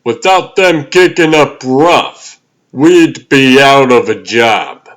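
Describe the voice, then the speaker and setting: A man voicing a gruff, world-weary veteran cleanup worker in a comic dub, with a folksy, slangy, dismissive way of talking. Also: mid-range voice, slow pace, a bit bright. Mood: grumbling, matter-of-fact.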